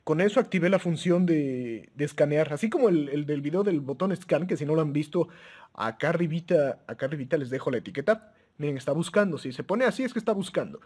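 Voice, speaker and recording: A man with a husky, somewhat high voice walks viewers through a gadget in a relaxed, chatty demo. He stumbles and restarts often, filling pauses with "eh", and breaks into small chuckles. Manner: hesitant, frequent restarts and "eh" fillers, addresses viewers as friends; chuckles often while talking. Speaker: radio gear reviewer. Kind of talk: casual product demo.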